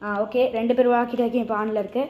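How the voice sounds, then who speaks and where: A woman's voice: on the high side, little pitch movement, rapid-fire, highs cut off, a little hiss or hum. A woman chattily explaining a product step by step to viewers in a casual vlog. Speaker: vlogger presenting a product unboxing.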